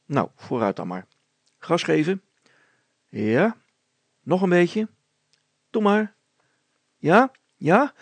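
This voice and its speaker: Guy doing an excitable storybook inventor dad, raspy and raised, stammers and repeats stuff. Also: fairly high pitch, takes it slowly, pauses often, no room sound, voice pushed up, exaggerated voice. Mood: urgent, agitated. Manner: stammering, repeating himself breathlessly. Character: excitable middle-aged male inventor, anxious, overjoyed father.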